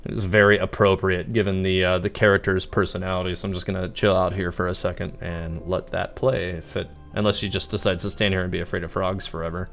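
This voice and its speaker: A man livestreaming a video game with casual, rambling, halting commentary. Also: low voice, little pitch movement, vocal fry, a bit bright, faint music behind. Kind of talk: casual live gameplay commentary.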